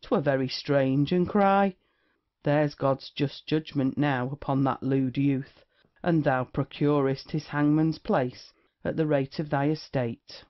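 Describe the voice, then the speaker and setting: A witty, swaggering young rake played by an actor in a period stage comedy for audio. The voice sits at a middling pitch with flippant, old-fashioned phrasing. The recording is slightly dull and lo-fi. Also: takes it slowly, natural voice. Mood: cocky, taunting. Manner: archaic, swaggering, flippant speech. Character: brash, witty young male rake, swearing casually.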